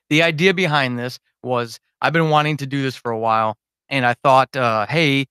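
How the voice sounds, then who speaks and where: A man's voice: medium pitch, sharp tone, clear, resonant tone, dry recording. A man chatting casually and unscripted to his supporters on a livestream, rambling, informal and self-deprecating as a podcast host.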